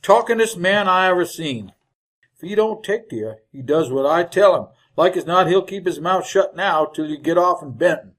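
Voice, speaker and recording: An actor playing a garrulous, folksy wagon driver in a radio western, with an American Western accent and colorful rustic slang in a husky voice. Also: male voice, high-pitched, animated, normal speed, a bit bright. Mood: chatty, amused. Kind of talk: old-time radio western acting.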